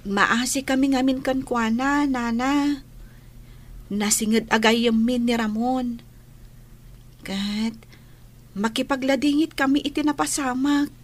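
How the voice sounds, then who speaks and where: A woman's voice: high-pitched, slow, pauses often. A woman playing a caring, earnest young schoolgirl in an Ilocano radio drama. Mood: gentle, consoling. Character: caring young schoolgirl, gentle and sympathetic friend.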